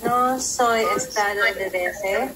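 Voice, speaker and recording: Woman, language student, very slow, careful, unsure, video call, faint noise. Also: medium pitch. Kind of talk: practicing phrases in a class.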